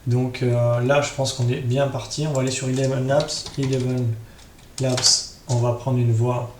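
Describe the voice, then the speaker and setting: A man's voice: medium pitch, flat delivery, normal speed, dark tone. A man hosting a casual screen tutorial, improvising and thinking aloud with frequent tag questions. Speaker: tutorial host. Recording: roomy echo, faint background noise.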